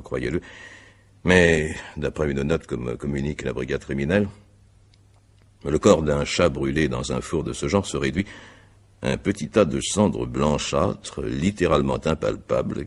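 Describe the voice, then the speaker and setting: Very deep, gravelly male magistrate. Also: heavily acted. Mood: politely probing. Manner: formal, measured, deliberate phrasing. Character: methodical, insistent male examining magistrate, courteous but probing. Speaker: examining magistrate. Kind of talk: French radio mystery drama acting.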